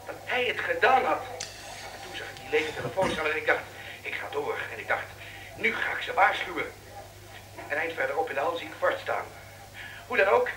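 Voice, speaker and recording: An actor playing an excitable male radio reporter phoning in urgently in a radio play. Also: on the high side. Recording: roomy, distant phone booth call, faint noise.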